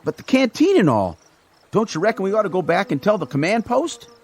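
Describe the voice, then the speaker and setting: An actor playing an earnest, easily spooked young soldier in a radio drama, with an excitable manner. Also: male voice, high-pitched, a little fast. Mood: nervous.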